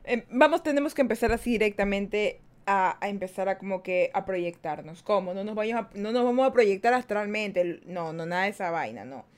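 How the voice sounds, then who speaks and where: A woman's voice: medium pitch, expressive, a little fast, hardly any pauses, darkish tone. A woman hosting a live-streamed podcast, chatty and playful as she talks straight to her viewers. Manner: playful, chatty, reads viewer comments aloud. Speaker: podcast host.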